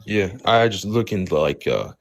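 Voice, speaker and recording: Low-voiced man, halting casual debater. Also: very animated, creaky, faint music behind. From Somali background. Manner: halting, heavy use of "like" and "you know". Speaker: debate participant.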